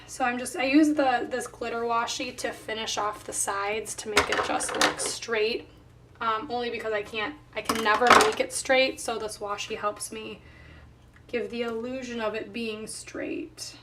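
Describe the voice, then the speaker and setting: A woman with a slightly hoarse voice, casually narrating her craft work and thinking aloud as she goes. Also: a little high, steady tone, distant mic, heavy background noise. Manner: unhurried thinking aloud while working. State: slightly hoarse, voice not recovered. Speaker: planner vlogger.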